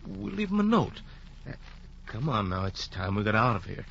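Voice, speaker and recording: An actor playing a kindly hospital doctor with a brisk, soothing bedside manner, in a dull lo-fi recording. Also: male voice, on the low side, sing-song, vocal fry, fairly bright tone, slight background noise, heavily acted.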